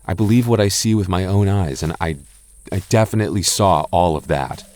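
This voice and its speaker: A young man in a close-mic boyfriend roleplay, talking softly with halting restarts and light jokes. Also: deep voice. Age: young adult. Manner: soft-spoken, halting, jokey and reassuring; slow measured reading of a rhyme.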